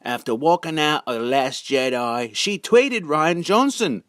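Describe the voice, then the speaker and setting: A man giving a casual, halting monologue as a video narrator, drawling words for sardonic emphasis. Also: on the high side, lively intonation, fairly bright tone, dry recording, clean studio sound. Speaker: video narrator and amateur reader.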